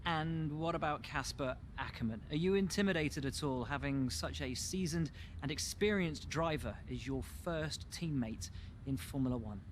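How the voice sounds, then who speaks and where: A man acting a courteous sports interviewer in a racing game. His voice sits a little high as he puts press questions, with faint noise behind. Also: normal speed, natural voice. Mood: inquisitive. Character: courteous, inquisitive male motorsport interviewer.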